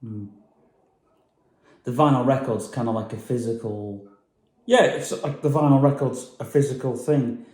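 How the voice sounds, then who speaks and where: A man talks loosely through his vlog, trailing off and filling gaps with hums and ums. His voice sits at a middle pitch with a soft, mellow tone. The room around him rings with echo. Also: normal speed, pauses often. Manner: rambling, hesitant, many fillers. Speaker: vlogger. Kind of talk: casual chat.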